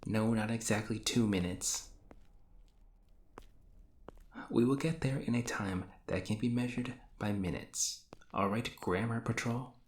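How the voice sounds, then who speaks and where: A man's voice: lowish voice, moderate pace, reverberant, natural voice. A man playing an exasperated, sarcastic young hero who banters and teases his frenemy in an audio roleplay. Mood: teasing, conversational. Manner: ranting, sarcastic, teasing. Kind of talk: scripted audio roleplay acting addressed to the listener.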